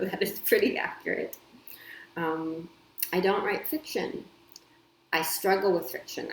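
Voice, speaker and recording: Woman, thirties, low pitch, echoey room; casual vlog chat, relaxed, filler-heavy. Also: vocal fry, slight background noise. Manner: chatty, frequent ums and small laughs. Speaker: copywriter and editor. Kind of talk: casual talk to camera. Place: home. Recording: echoey room with faint ambience.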